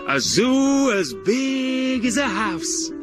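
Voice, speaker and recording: An actor playing a pompous, self-important older zookeeper, grandiose and dramatic in a surreal comedy. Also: male voice, extremely high pitch, big pitch swings, loud music behind the voice, full character voice. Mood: impassioned, grandiose. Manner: grandiose, drawn-out dramatic storytelling. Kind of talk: deadpan surreal sitcom dialogue.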